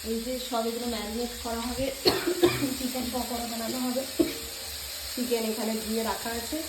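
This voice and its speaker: A woman's voice: a little high, little pitch movement, moderate pace, roomy sound, heavy background noise. A woman with a West Bengal Bengali accent chats casually as co-host of a home vlog.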